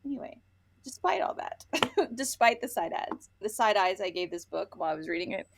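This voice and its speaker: A woman's voice: medium pitch, animated, brisk, gravelly, noisy background. A woman co-hosting a casual book chat, speaking bluntly with an exasperated edge and some casual swearing. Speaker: podcast co-host reviewing romance books.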